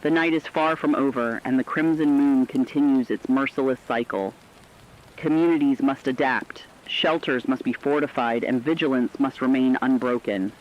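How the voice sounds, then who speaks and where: A man narrating a scripted emergency radio broadcast in a grave, measured manner. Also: medium pitch. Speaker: radio announcer. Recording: slightly dull audio with faint rain noise.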